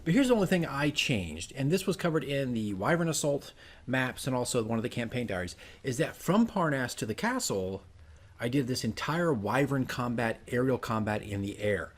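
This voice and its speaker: A man's voice: mid-range voice, sing-song, normal speed. A man talking casually as a dungeon master, working from notes and often checking in with "right?". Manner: chatty, self-correcting, often tags sentences with "right?". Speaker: dungeon master giving game tips.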